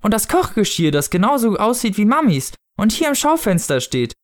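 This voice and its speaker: A man's voice: high-pitched, big pitch swings, normal speed, pitched above the performer's own voice. A man reading a storybook as a fussy, protective mother. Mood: cooing. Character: fussy, conspiratorial, protective mother of small child.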